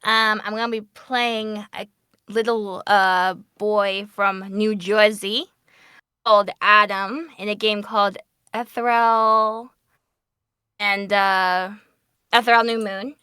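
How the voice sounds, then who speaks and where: Upbeat lady on a panel, crisp bright voice, dry sound, takes her time. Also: mid-range voice, level tone, takes it slowly, dry recording. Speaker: voice actor on a convention panel. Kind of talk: casual panel Q&A chat.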